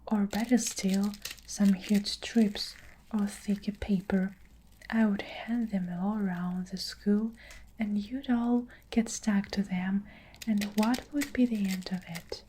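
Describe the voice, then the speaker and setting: A woman's voice: medium pitch, fairly even intonation, takes it slowly, warm tone, slight background noise, lightly acted, poor audio. A woman whispering the lines of a furious, child-hating giant of a headmistress who snarls insults. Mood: scornful.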